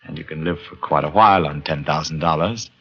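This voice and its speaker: An actor playing an obsessed, half-mad pianist in a 1940s radio horror drama, with an intense, brooding, theatrical delivery. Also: male voice, very deep voice, brisk, bright, forceful tone, husky, dull audio, heavily acted. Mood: coldly insistent. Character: obsessed, half-mad pianist who lost his hand. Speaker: lead actor and first-person narrator.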